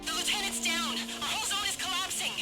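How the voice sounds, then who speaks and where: A woman's voice: very high voice, fairly even intonation, quick pace, over music, poor audio. A woman playing a desperate, trapped soldier calling over battlefield radio in a video game, pleading frantically. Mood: panicked, pleading.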